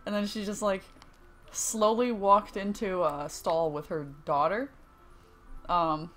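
A young woman with a low-pitched voice chatting casually while streaming a game, rambling with many restarts. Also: fairly even intonation, slow pace, soft background music. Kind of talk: casual gaming chat.

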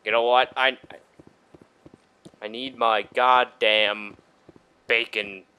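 A man's voice: medium pitch, fairly even intonation, takes it slowly, pushed and bright, smooth. A man giving casual, profane, joking let's play commentary with mock exasperation. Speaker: gaming channel host.

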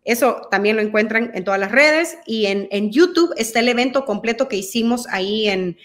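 A woman's voice: fairly high pitch, expressive, moderate pace, a bit bright. A woman from El Salvador whose Spanish carries a Mexican-sounding accent speaks earnestly and with fervor over a laptop video call. Her talk is full of fillers and restarts, and she is recorded in a dim room at home. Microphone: video call laptop mic.

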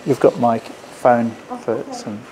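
A middle-aged man chats casually as he hosts a walk-through tour. His pitch is average and his manner agreeable and approving. Clear background noise of a working indoor space surrounds him. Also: lively intonation, takes it slowly, mellow. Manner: agreeable, approving short responses. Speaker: host of factory tour. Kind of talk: casual on-site tour chat. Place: indoors in electronics factory rooms and assembly hall. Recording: noisy factory ambience, handheld camera sound.

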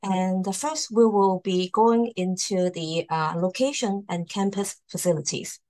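A woman's voice: medium pitch, unhurried, a little mellow. A woman hosting and presenting in a formal slide talk over a video call. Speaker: host, university admissions presenter.

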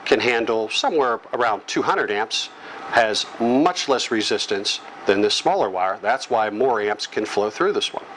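A middle-aged American man giving an instructional lecture, explaining in short, measured phrases. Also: fairly low pitch, animated, fairly bright tone, slight background noise, low-quality recording. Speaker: electrical training instructor. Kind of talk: instructional lecture read from slides. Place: small office or classroom with whiteboard, indoors. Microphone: lapel microphone.

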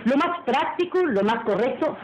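A woman's voice: sits high, animated, normal speed, muffled recording. A woman playing a haughty, cold noblewoman in an old radio melodrama, imperious and formal. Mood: cold, insistent. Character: haughty, cold noblewoman, wife and mistress of mansion. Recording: old radio recording, faint noise.

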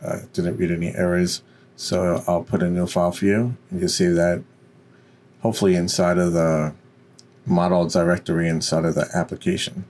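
Deep-voiced man giving a tutorial. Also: flat delivery, moderate pace, a little mellow. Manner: instructional, step by step. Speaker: tutorial presenter. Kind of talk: software tutorial walkthrough, unscripted.

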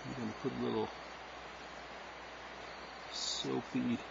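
Man, gravelly voice, middle pitch, casual commentary while working, thinking aloud, outdoors, lo-fi camera mic, noisy. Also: slow. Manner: relaxed, thinking aloud with many fillers. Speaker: wildlife cam caretaker. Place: outdoors at an owl nest box in a tree. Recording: muffled lo-fi nest cam audio with noise.

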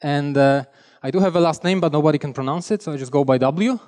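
A young man with a Polish accent talks through a headset mic on a big conference stage, his voice sitting a little high for a man. He is chatty and self-deprecating and often restarts his sentences. A long hall reverb trails behind his words. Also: fast, a little mellow. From Poland. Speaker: conference speaker. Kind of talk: technical conference talk.

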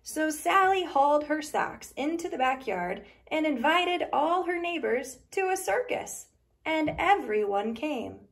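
Slow, high-voiced woman reading a storybook. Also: creaky. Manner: expressive, deliberate storytelling. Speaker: children's story reader. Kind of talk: read-aloud picture book narration.

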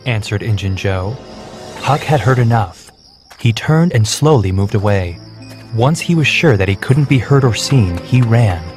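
Man, steady storybook narration, faint background music. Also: fairly low pitch. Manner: clear, measured, steady.

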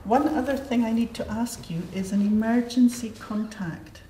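A middle-aged woman asks simple questions slowly and patiently, repeating and reassuring as she goes. Her voice is mellow and warm. The small studio adds a roomy echo and a faint hiss. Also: a little high. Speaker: adult education assessor. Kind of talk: slow, simple questions to a language learner. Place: small studio set, indoors.

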